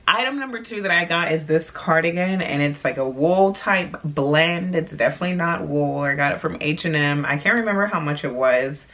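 A young woman with a deep voice chatting casually about her clothing haul in her bedroom, playful and laid-back. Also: fairly bright tone. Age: young adult. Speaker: vlogger. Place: small bedroom, indoors. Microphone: camera at desk distance.

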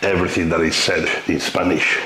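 A man's voice: deep voice, little pitch movement, pushed and bright. A middle-aged man hosting a massage video, talking casually with many fillers and restarts, recorded on a lapel mic in a roomy indoor room. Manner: halting, many fillers and restarts, drops to a whisper while massaging. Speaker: massage therapist and host. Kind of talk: casual chat and whispered ASMR massage talk. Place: bright indoor room of an apartment. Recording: roomy room echo, faint background noise.

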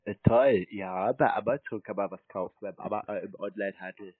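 Husky, blunt man on the phone. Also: lowish voice, fairly even intonation, brisk, no echo. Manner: blunt, contrarian, accusing. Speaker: scam-baiter answering a cold call. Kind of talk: unscripted phone argument. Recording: muffled narrow-band phone call.

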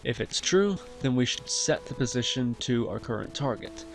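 A man hosting a software tutorial, explaining each step in an instructional way. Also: medium pitch, animated, moderate pace, gravelly, faint music behind. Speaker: tutorial host. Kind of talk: software tutorial narration over screen recording.

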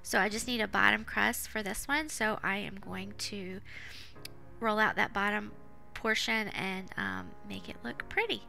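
Casting: female home-cook narrator, informal and chatty, crisp forward tone with vocal fry, over a music bed. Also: mid-range voice, steady tone, unhurried, bright, forceful tone. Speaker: home cook, vlog host. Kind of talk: casual cooking voice-over. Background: background music.

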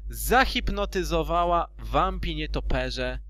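A man's voice: on the high side, expressive, takes it slowly, a bit bright. A man narrating a storybook in an amateur fan dub, theatrically and with loose asides.

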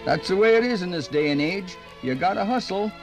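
A man's voice: high-pitched, animated, brisk. An actor playing a folksy, rambling older small-town man, narrating a 1950s radio program. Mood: folksy, musing. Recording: 1950s radio record, music behind.

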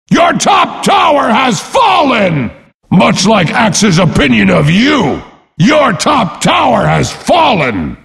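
A boastful brute warrior announces a video game in a high, gravelly bellow. He is pompous and brash, swinging wildly in pitch, with a long reverb tail on his voice. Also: a bit bright, full character voice. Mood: scornful, boastful. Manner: pompous, speaks of himself in third person.

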